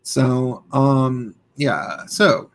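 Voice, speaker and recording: A young man with a hoarse voice, live-streaming and ranting casually, rambling with many fillers and restarts. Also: mid-range voice, extremely slow.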